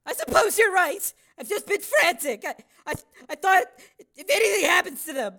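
Woman as a frantic estranged mother, high, bright, halting and tearful. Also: sing-song, exaggerated voice. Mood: distraught. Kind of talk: dramatized audiobook acting.

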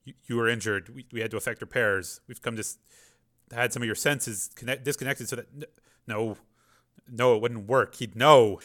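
A man voicing an anxious male scientist who stammers and lies under stress, his voice pushed high and loud. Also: fairly even intonation, moderate pace, lightly acted. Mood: frantic. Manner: stammering, frantic, raised voice.

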